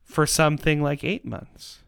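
A man giving a persuasive monologue in an emphatic, rhetorical manner, with dramatic pauses and repetition. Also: on the high side, steady tone, vocal fry, a bit bright, clean tone, dry recording, studio quality. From Canada. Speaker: podcast host and pro-life activist.

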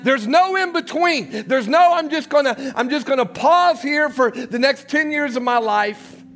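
High-pitched preaching man, soft music behind. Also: measured pace. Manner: preaching with big swings between loud shouts and hushed low passages. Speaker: pastor. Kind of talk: sermon.

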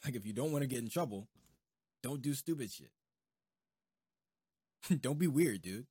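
A man talks as a podcast host in a loose, informal way. His voice sits at an average pitch and is recorded very dry, with little room sound. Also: animated, normal speed, warm tone, slightly rough, no echo. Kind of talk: casual podcast chat.

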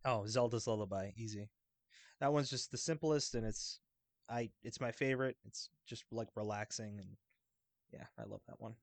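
A man's voice: on the low side, moderate pace, creaky, no room sound. A man on a podcast panel, talking informally in a loose, rambling way. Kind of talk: casual chat.

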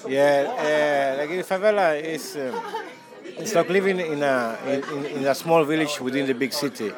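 A man's voice: on the high side, moderate pace, over music, a crowd in the background. A man from Chile who runs a hostel, answering interview questions casually with many fillers and restarts. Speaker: hostel owner.

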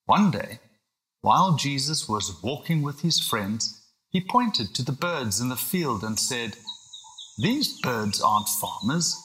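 A man reading a storybook as a patient, reassuring father, gently explaining things with faint exasperation. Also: medium pitch, sing-song, takes it slowly, slight background noise, exaggerated voice, poor audio. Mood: gentle teaching.

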